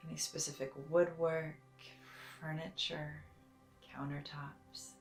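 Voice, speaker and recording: A woman leading a guided meditation in a soft, soothing, deliberate way, sometimes breathy, with long pauses. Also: deep voice, little pitch movement, reverberant. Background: soft music.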